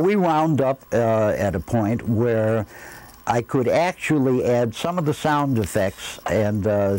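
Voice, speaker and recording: An American man in his sixties reminiscing in an interview, reflective and halting as he searches for his words. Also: lowish voice, mellow, low-quality recording. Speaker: former radio engineer interviewed. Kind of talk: interview recollection. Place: indoor room, seated.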